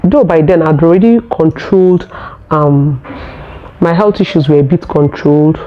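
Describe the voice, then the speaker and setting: A Nigerian woman who speaks English as a second language, telling her own story in a vlog in an earnest, unhurried way. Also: low voice, muffled recording, slight background noise. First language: Nigerian local language. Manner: earnest, unhurried, searching for words. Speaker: vlogger.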